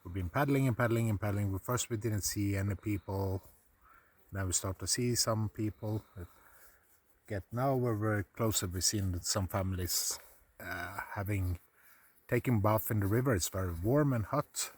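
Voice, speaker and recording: A man's voice: lowish voice, moderate pace. A man from Sweden with a Swedish accent, giving easygoing, loosely phrased commentary on a river trip, recorded outdoors on a smartphone. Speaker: kayaker filming his trip. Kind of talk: casual travel vlog narration. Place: outdoors on a river, wind and water around. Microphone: smartphone mic. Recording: outdoor phone recording with clear background noise.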